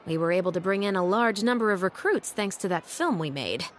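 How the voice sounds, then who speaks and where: A woman playing a composed, dutiful female patrol captain in a video game, speaking in a measured, dryly wry way. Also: mid-range voice, expressive, a little hiss or hum, natural voice. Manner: measured, dry, articulate.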